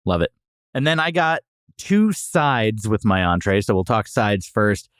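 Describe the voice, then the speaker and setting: Male podcast host, lively and opinionated, casual jokey food review chat, close dry sound. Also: fairly low pitch, a little slow, clear, resonant tone, dry recording.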